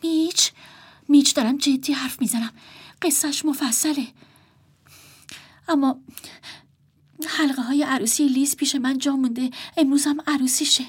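A woman's voice: sits high, fairly even intonation, long pauses, pitched above the performer's own voice, close to an everyday voice. A woman voicing a desperate young woman, pleading earnestly with her brother.

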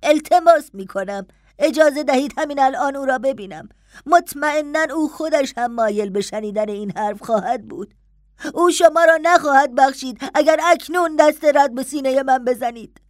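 Woman acting a high-pitched, pleading, distraught servant woman. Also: no echo, clean studio sound, lightly acted. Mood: sorrowful pleading. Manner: impatient, urgent, voice climbing louder. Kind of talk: dramatized audiobook dialogue.

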